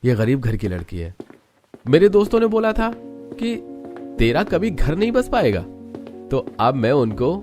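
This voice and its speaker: A man's voice: mid-range voice, sing-song, a little slow, pauses often, darkish tone, faint music behind. Whiny, cocky young layabout played by a man. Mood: scheming. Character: lazy, scheming, huffy young layabout son. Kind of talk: Hindi cartoon story acting.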